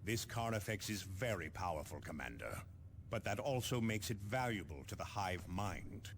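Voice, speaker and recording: Grave, low-voiced military captain. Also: male voice, lively intonation, a little hiss or hum. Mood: resolute. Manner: measured, authoritative, formal. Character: stern male space marine captain, grave and resolute. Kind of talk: video game briefing.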